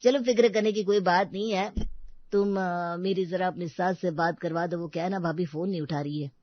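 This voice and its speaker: Lady doing a polite old uncle for a family drama, lowish voice, kinda hesitant, very dry sound. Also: fairly even intonation, moderate pace, no echo, muffled recording, natural voice. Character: polite, considerate elderly uncle-in-law, gently self-effacing.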